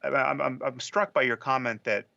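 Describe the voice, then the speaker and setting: Male podcast voice, measured and careful, bright with fry, lo-fi clip. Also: medium pitch, steady tone, no room sound. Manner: measured, careful, frequent restarts. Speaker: podcast discussion participant. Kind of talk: thoughtful conversation.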